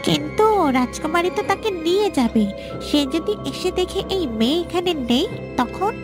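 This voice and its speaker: A woman's voice: extremely high pitch, lively intonation, normal speed, music in the background. A woman voicing a young, obedient palace maid in a fairy-tale cartoon, with a polite, persuasive manner. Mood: questioning, uneasy.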